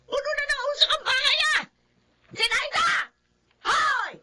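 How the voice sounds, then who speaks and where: A sharp-tongued older Filipino neighbour woman for radio drama. Her voice is extremely high and bright. She scolds, accuses and hurls insults. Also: unhurried, full character voice. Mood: irritated, accusing.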